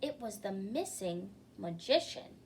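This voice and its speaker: A woman's voice: medium pitch, sing-song, unhurried, vocal fry, a little mellow, slight background noise. A woman hosting storytime, reading a children's book aloud theatrically and speaking directly to young listeners.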